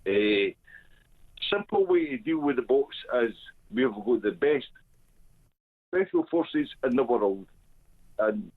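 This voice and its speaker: Slow-talking Scottish man on a phone line. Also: mid-range voice, breathy edge. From Scotland. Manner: blunt, halting, emphatic. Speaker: caller. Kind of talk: phone-in call.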